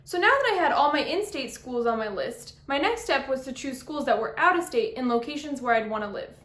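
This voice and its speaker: A woman's voice: high voice, lively intonation, fairly quick, fairly bright tone, roomy sound. A young woman from Brazil giving scripted advice in a vlog, upbeat with playful asides. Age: young adult. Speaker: vlogger.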